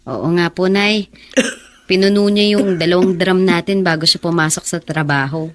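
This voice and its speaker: An actress playing a dutiful young bar hostess and daughter in a Filipino radio drama, speaking gently and naturally. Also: female voice, fairly low pitch, steady tone, unhurried, natural voice. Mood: amused, respectful. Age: young adult.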